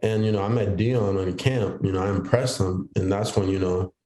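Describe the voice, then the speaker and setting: A man's voice: deep voice, fairly even intonation, moderate pace, mellow, reverberant. A young man born in Haiti, a football player guest on a podcast, telling his story in an easygoing way. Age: young adult. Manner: easygoing, storytelling with quoted inner thoughts. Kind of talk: casual interview chat.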